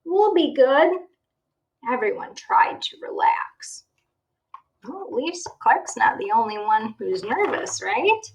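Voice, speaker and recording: A woman reads a picture book to a child in the manner of a teacher. Her pitch is on the high side and moves around a lot, and she goes slowly, pausing to ask the listener questions. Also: gravelly, a little hiss or hum, rough recording. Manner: expressive, checks in with the listener using questions. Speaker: teacher reading aloud to a child. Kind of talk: children's storybook read-aloud.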